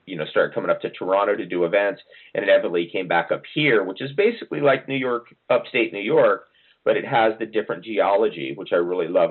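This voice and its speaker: Low-voiced man on a phone-like line. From New Jersey, USA. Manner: relaxed, rambling, many ums and restarts. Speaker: guest. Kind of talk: casual interview answers. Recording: phone-like narrow audio.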